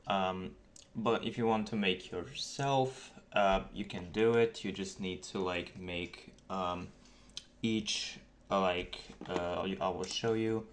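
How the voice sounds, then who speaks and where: A man with a low voice explains game modding in a casual tutorial. He talks slowly and haltingly, stopping often between short phrases. Light background noise and keyboard clicks sit behind him. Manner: halting, hesitant explaining with many pauses. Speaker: tutorial narrator for game mods. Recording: noisy desk mic, keyboard clicks. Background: keyboard typing and mouse clicks.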